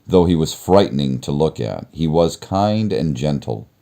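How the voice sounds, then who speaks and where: A man reading a children's story aloud as narrator, in a measured, expressive storytelling manner. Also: very deep voice, vocal fry. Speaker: storybook reader.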